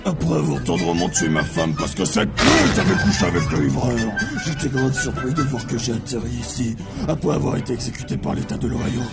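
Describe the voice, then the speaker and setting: A man's voice: mid-range voice, very animated, fairly quick, vocal fry, loud music behind the voice. A man voicing a bitter, foul-mouthed murderous sinner from hell in a cartoon fan dub. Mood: bitter deadpan.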